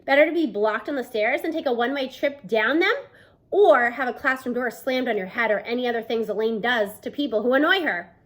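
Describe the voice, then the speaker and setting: A woman in her thirties narrates a children's book. Her voice is high and very lively in pitch, with an expressive storytelling delivery, picked up by a device at arm's length indoors. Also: fairly quick. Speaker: read-aloud narrator. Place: small room at home, indoors.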